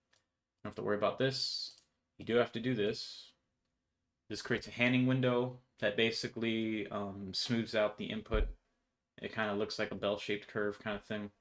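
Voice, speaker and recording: A man's voice: on the low side, flat delivery, moderate pace. A young man giving a casual, offhand walkthrough of code in an informal explanatory way. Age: young adult. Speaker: programmer presenting own project. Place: indoors in a home room.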